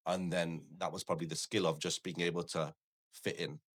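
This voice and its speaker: Deep voiced midlands guy, punjabi first language, chatting on a podcast and telling stories about himself. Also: level tone, a little fast. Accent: English Midlands. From Coventry, England. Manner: talkative, anecdotal, often quoting imagined dialogue. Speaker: podcast guest, forex trader and educator. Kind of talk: conversational podcast interview, telling personal stories.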